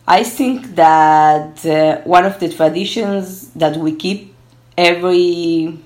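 Woman, Spanish speaker, low pitch, unhurried pace, halting and reflective, interview guest. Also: a little mellow. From born in Argentina, moved to Israel at twelve. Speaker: interview guest, gerontology researcher. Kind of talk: conversational interview.

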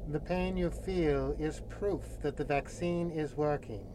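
An actor playing a calm, formal doctor with a measured, reassuring manner in a radio horror play. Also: male voice, on the high side, background noise, close to an everyday voice. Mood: coolly reassuring. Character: calm, formal, quietly sinister male doctor. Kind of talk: old-time radio horror acting.

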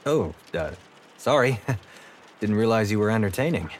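An actor playing a breezy, eager, awkward ex-cop assistant in a noir audio drama, informal and a bit stumbling. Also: male voice, lowish voice, expressive, unhurried, slight background noise.

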